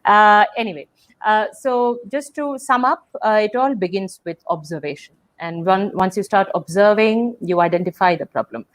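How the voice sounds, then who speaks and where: A woman's voice: medium pitch, normal speed. Hesitant woman hosting a video-call webinar. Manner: thoughtful, many fillers and restarts. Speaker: webinar host. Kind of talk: casual webinar conversation.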